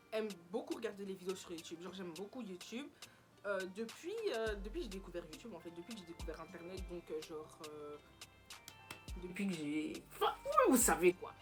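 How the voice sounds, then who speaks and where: A teenage woman talks casually to camera, rambling and tossing English phrases into her sentences. Her voice sits at an ordinary middle pitch. Music plays clearly behind her. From Belgium. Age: teens. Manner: chatty, mixes in English phrases, many fillers. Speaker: vlogger. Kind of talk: casual chat.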